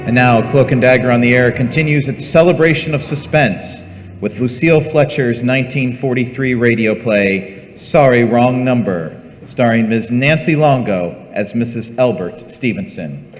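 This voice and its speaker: A man narrating a scripted radio suspense show as its announcer, in a measured, portentous manner. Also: medium pitch. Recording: echoey hall with long reverb. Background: loud music.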